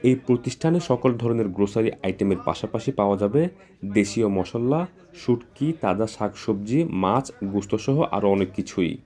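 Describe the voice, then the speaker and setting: A man's voice: fairly low pitch, expressive, moderate pace, dark tone. A man reading scripted news narration as a reporter.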